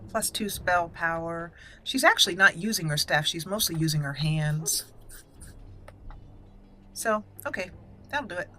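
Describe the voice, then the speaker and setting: A woman's voice: fairly low pitch, animated, measured pace, pauses often, bright, forceful tone, a little hiss or hum. A woman giving casual gameplay commentary, thinking aloud and reading item names out as she goes. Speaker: gaming channel host. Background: video game music.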